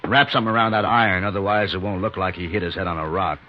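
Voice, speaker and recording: An American actor playing a cold, bossy outlaw leader in a 1950s radio western, slow, commanding and menacing. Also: male voice, low voice, steady tone, close to an everyday voice. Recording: dull, faintly noisy 1950s radio recording.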